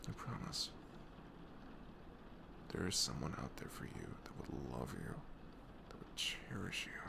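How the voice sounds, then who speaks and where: A young man playing a caring, reassuring best friend in an intimate ASMR roleplay, soft-spoken and earnest, with audible noise in the recording. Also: a bass voice, sing-song, a little slow, heavily acted. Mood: tender, soothing. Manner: soft-spoken, often breathy, hesitant, earnest. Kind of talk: ASMR roleplay acting, intimate casual talk.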